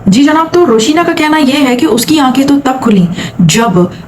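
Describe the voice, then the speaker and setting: A woman's voice: high voice, lively intonation, moderate pace, noisy background. A woman from Saudi Arabia hosting a vlog, giving commentary and retelling someone else's account. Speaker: vlog host.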